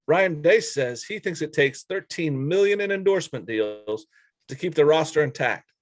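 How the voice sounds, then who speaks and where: A man's voice: fairly high pitch, dry recording. A man co-hosting a sports podcast, recapping news and giving blunt, informal opinions in casual talk.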